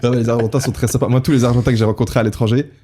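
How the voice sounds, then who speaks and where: A man's voice: mid-range voice, steady tone, racing, warm tone. A young man co-hosting a casual podcast chat, joking and talkative. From half Peruvian. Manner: joking, talkative, laughs often. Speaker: podcast co-host. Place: lives in Spain.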